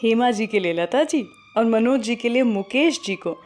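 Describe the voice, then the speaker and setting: A woman's voice: on the high side, animated, moderate pace, a little mellow, faint music behind. A woman with an Indian accent hosting a radio show, telling stories in a scripted style and slipping English phrases into her talk. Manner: Hindi mixed with frequent English phrases. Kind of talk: scripted radio storytelling and song introductions.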